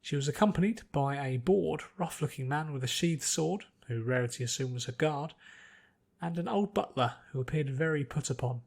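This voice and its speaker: A man's voice: fairly high pitch, moderate pace, vocal fry, a little mellow. A man reading scripted fantasy fiction aloud as its narrator.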